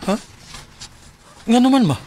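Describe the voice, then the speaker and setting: A man's voice: extremely high pitch, animated, unhurried, dark tone, exaggerated voice. A man with a Cebuano accent playing a heartbroken working-class father in a radio drama. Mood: pleading. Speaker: radio drama actor and narrator.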